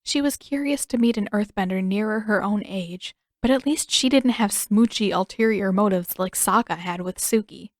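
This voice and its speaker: A woman's voice: medium pitch, normal speed, few pauses, no echo, studio quality. A woman reading scripted fiction as an audiobook narrator.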